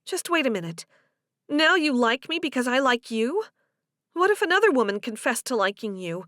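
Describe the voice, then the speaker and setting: Woman voicing a spirited, high-pitched young woman. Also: sing-song, normal speed, fairly bright tone, no room sound, studio quality. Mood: indignant. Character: earnest young woman, shy yet spirited and indignant. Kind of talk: audiobook character dialogue.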